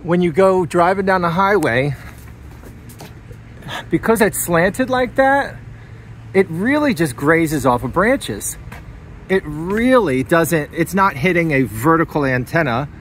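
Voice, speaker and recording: A man's voice: a little high, lively intonation, takes it slowly, fairly bright tone. A man giving a casual, hands-on demonstration in a plainspoken, enthusiastic way, as a hobbyist vlogger. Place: inside a parked vehicle and outdoors in a driveway. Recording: clear background noise from car and outdoors.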